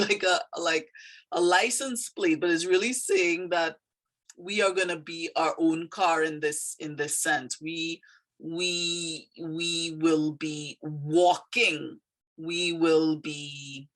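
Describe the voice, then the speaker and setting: A woman's voice: low-pitched, steady tone, takes it slowly, fairly bright tone. A woman from Trinidad with a Trinidadian accent and a slight Canadian tinge, a poet explaining her poem in a thoughtful, hesitant way with many fillers. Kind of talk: casual explanatory talk.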